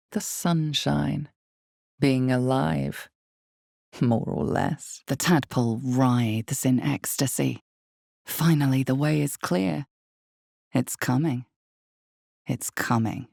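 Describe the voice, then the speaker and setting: A woman playing the grave, intimate, ominous storyteller of a dark fantasy game, narrating with slow, deliberate, dramatic pauses. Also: no echo, studio quality, full character voice. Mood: hushed, intense. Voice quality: low, husky, gravelly. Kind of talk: dramatic second-person scripted game narration.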